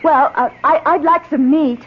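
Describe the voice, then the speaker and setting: A woman's voice: sits high, normal speed, natural voice. An actress playing a headstrong, defiant young woman on a jungle dig in an old-time radio drama, dismissive and impatient. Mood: ravenous. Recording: dull vintage radio recording, faint noise.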